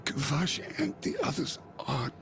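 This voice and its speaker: An actor playing a desperate, frightened survivor in a video game, pleading haltingly for help. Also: male voice, a little high, huge pitch swings, creaky, over music, exaggerated voice. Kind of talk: dramatic video game dialogue.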